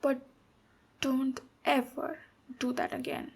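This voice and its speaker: High-voiced, unhurried ogre warrior woman played by an actress. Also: flat delivery, gravelly, breathy edge, full character voice. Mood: gently stern. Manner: unhurried, deliberate, pausing between phrases. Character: boisterous female ogre warrior, protective of her human servant. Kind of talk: ASMR roleplay, storytelling and direct address.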